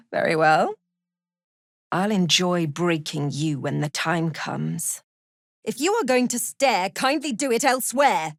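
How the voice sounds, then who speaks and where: A woman playing a cruel, zealous cult prison warden in a fantasy video game, haughty and sardonic, with measured, threatening delivery. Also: on the low side, no echo, clean studio sound. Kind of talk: video game character voice acting.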